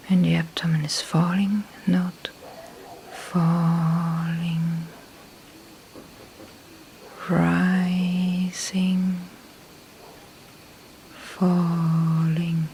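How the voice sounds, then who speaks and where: A woman teaches meditation in a soft, breathy voice with a gravelly creak. Her delivery is very slow and calm, broken into short phrases with long pauses. Faint background noise sits under the recording. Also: lowish voice, rough recording. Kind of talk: slow guided meditation instruction.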